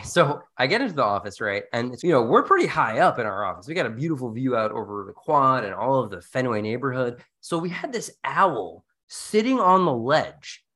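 A man hosting a podcast with playful, theatrical banter and storytelling. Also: on the low side. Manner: animated, theatrical. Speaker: podcast host.

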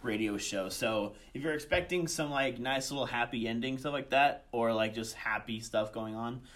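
A young man answers interview questions in a casual, conversational way. His delivery is halting, with phrases begun again and corrected as he goes. He speaks as a student director and voice actor being interviewed. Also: mid-range voice, fairly bright tone. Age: young adult.

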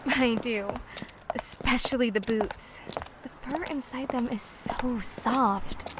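Coy young woman, higher voice, noisy. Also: takes it slowly. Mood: tender, coy. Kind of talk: naturalistic audio drama dialogue. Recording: clear background noise.

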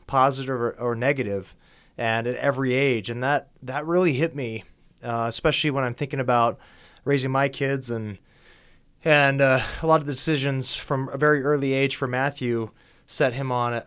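A man's voice: medium pitch, a bit bright, clear, resonant tone. A man in his forties hosting a podcast interview, upbeat and chatty, joking at his own expense. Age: 40s. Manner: upbeat, chatty, self-deprecating. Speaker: podcast host. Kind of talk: casual interview chat.

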